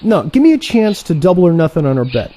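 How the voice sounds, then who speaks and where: A man playing an excitable, cocky younger assassin in an audio play, talking in an animated, wheedling, sarcastic way. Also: a little high, fast talker, a little hiss or hum. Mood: needling, eager. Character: excitable, cocky younger assassin, male trainee turned rival.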